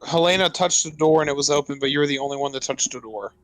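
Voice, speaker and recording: Guy in a tabletop rpg, slightly high voice, close dry sound, pushy casual talk, soft music behind. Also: fast talker, dry recording, rough recording. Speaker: tabletop game player. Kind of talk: casual roleplay chat.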